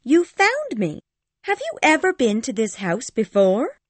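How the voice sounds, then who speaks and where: A woman playing a spirited, haughty young girl in an audiobook story, with an imperious, indignant and expressive way of talking. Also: high voice, measured pace, no echo, heavily acted. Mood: playful, curious. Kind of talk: dramatised audiobook dialogue.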